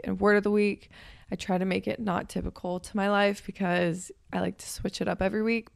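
A hoarse young woman in her twenties hosting a podcast in a chatty, upbeat way, her voice raspy from just waking up. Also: mid-range voice. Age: 20s. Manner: chatty and upbeat, with frequent fillers. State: hoarse from waking up and a weekend of talking. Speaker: podcast host and personal trainer. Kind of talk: casual chat.

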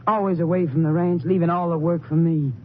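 An actress playing a hard-bitten, resentful rancher's wife in a 1950s radio western, curt and complaining, with rough rural grammar. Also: female voice, lowish voice, steady tone, brisk, natural voice. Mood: bitter, scornful. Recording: tinny, noisy 1950s radio recording.

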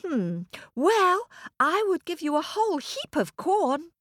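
A woman's voice: very high voice, big pitch swings, slow pace, fairly bright tone, no room sound, studio quality, pitched above the performer's own voice, exaggerated voice. A woman voicing a worried little boy pleading for help in a children's story. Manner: pleading, eager.

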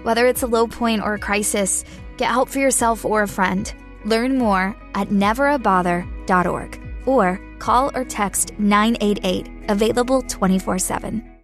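A woman reading a scripted public service announcement in a reassuring, measured manner. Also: mid-range voice. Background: music.

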